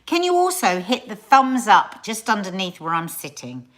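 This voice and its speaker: A woman's voice: medium pitch, very animated, normal speed, fairly bright tone. A middle-aged woman hosting a casual livestream, chatting with viewers, often trailing off and restarting her sentences. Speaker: livestream host.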